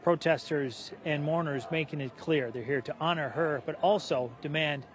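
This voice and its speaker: An American man reports live for TV news from outdoors. His voice is a little higher than average for a man, with faint urban noise behind him. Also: normal speed. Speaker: TV field reporter. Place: outdoors on a city street.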